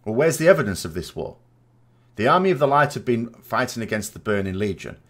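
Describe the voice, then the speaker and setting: A man's voice: medium pitch, very animated, brisk, fairly bright tone. A man giving informal, speculative commentary as a gaming vodcast host, reasoning aloud as he goes. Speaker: video game lore commentator and vodcast host.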